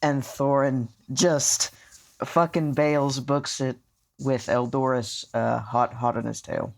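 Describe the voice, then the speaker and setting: Very creaky-voiced tabletop player. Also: mid-range voice, steady tone, slow pace. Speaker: player in an actual-play podcast. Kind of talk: casual tabletop roleplay.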